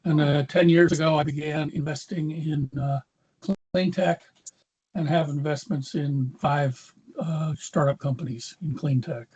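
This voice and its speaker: A man introducing himself as an investor on a video call, measured and slightly hesitant. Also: on the high side, fairly even intonation, darkish tone, husky. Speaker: investor panelist. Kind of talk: brief self-introduction. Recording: dull lo-fi video call audio.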